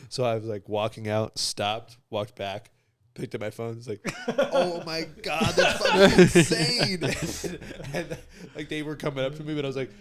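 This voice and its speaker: A man's voice: mid-range voice, moderate pace, a bit bright. A man co-hosting a podcast, telling a story in casual chat and laughing through it. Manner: laughs through his own stories. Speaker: podcast co-host.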